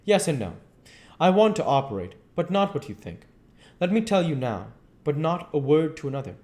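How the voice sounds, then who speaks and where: A man's voice: fairly high pitch, sing-song, normal speed, a little mellow. A man voicing an old male professor who speaks in an earnest, pleading, old-fashioned way. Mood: grave.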